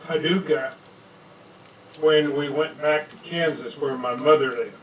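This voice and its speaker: A man with a loud voice chatting casually on a video call through a headset mic. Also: fairly high pitch, fairly even intonation, a little slow, far from the mic, dull audio, slight background noise. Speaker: caller on a video chat. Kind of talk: casual chat.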